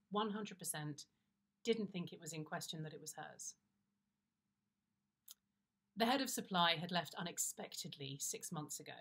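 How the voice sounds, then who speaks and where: Low-pitched British woman reading a wry story. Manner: wry, confiding, expressive timing. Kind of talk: first-person comic story reading, conversational. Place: indoors, plain-walled room.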